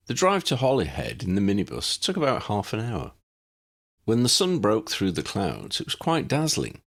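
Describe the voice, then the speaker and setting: A man reading scripted story narration in a measured, expressive storytelling manner. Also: low-pitched, vocal fry, slightly rough. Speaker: audiobook narrator and author.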